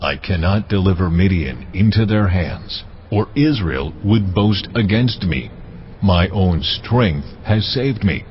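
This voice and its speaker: A man's voice: low voice, expressive, a little hiss or hum. An actor playing God in a dramatised audio Bible, a solemn male deity who speaks with weight and command. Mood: stern command. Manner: slow, weighty, deliberate delivery. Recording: muffled audio under music.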